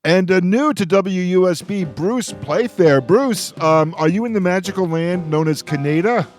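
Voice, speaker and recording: A man's voice: high voice, expressive, moderate pace, faint music behind. An older man hosting a casual radio roundtable in a jokey, rambling way. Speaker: radio show host. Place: radio show with remote guests.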